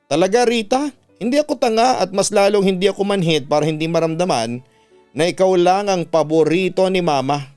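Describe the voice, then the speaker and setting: A man's voice: sits high, brisk, a little mellow, smooth, soft background music, pitched above the performer's own voice, lightly acted. A Filipino man voicing a resentful young older sister with an irritated, accusing manner in a narrated radio drama story. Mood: bitter, aggrieved. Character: resentful, jealous young older sister, bitter and sharp-tongued.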